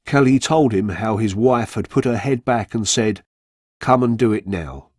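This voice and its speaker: A man reading a scripted true-crime story for radio in a measured, factual storytelling manner. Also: lowish voice, a little mellow, no echo, studio quality. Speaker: narrator.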